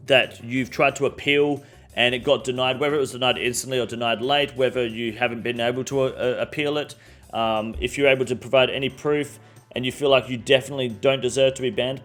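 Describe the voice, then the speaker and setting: A man in his thirties, a game streamer, talking casually to his viewers in a relaxed, rambling way with many ums and some mild swearing. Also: medium pitch. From Western Australia. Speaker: game streamer and video creator. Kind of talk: casual direct-to-camera appeal.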